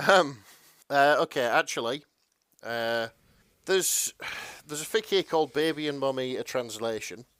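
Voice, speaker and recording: A man co-hosting a podcast in casual chat, halting and stammering, mildly apologetic in manner. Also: mid-range voice, a bit bright, clean tone, no room sound, studio quality. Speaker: podcast co-host.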